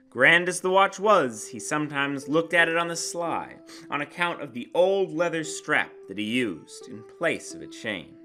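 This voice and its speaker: A man's voice: mid-range voice, bright, forceful tone. A man narrates a classic short story as an emphatic, theatrical dramatic reading, savoring his phrases. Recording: guitar music behind the voice. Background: plucked guitar music.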